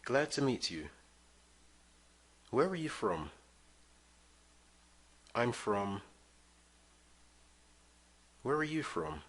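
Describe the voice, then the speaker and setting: Male lesson reader: slow, lively phrasing, bright slightly husky voice, clear model sentences for repetition. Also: medium pitch. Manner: reads model phrases for learners to repeat. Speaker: English teacher. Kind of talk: slow scripted language-lesson reading.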